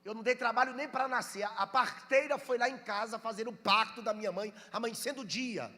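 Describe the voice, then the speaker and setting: A Brazilian pastor from Bahia with a Bahian accent, preaching a sermon, reading scripture slowly and calling on the congregation to answer. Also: male voice, very high-pitched, pushed and bright, big-room sound. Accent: Bahian Brazilian Portuguese. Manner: reads scripture slowly and drawn out, urges listeners to respond.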